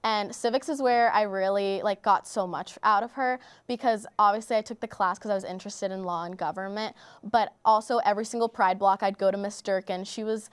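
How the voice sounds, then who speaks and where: A woman's voice: medium pitch, steady tone, brisk, few pauses, smooth. A teenage girl from Bulgaria, answering interview questions in a chatty way, saying "like" often. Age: teens. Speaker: high school student guest.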